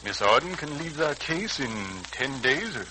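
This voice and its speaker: An actor playing a measured, fatherly senior doctor in a 1940s-style radio serial. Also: a little high, animated, pushed and bright, rough recording. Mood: calm, wry. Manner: measured, avuncular. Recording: old radio recording, clear hiss.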